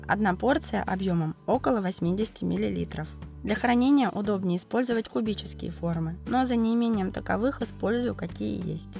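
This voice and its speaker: A woman with a cold, narrating a cooking video as its host, explaining each step calmly and methodically. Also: medium pitch, creaky. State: has a cold, voice affected. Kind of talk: calm recipe voice-over narration.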